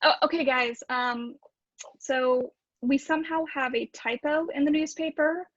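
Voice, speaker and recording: Woman playing an exasperated high-voiced newspaper editor. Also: fairly even intonation, measured pace, no echo, dull audio. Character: exasperated female newspaper editor scolding bungling staff. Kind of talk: improvised comedy scene.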